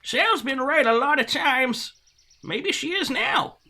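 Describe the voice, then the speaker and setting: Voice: sits high, animated, slow pace, a loud crowd. An actor playing an earnest, reasonable frontier townsperson in a comic-book Western audio drama. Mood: earnest, reasoning.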